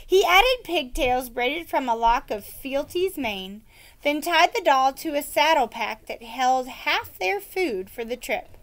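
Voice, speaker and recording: High-voiced woman reading aloud, unhurried. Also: expressive, fairly bright tone. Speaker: read-aloud reader for children. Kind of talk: storybook narration and plain explanation to children.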